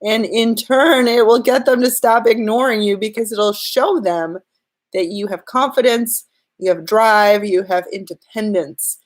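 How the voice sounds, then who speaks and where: A woman's voice: medium pitch, a little slow. A woman with a slight cold giving earnest, direct relationship advice to her viewers in a live-streamed talk. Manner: earnest, direct advice spoken straight to the listener. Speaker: relationship and law-of-attraction coach.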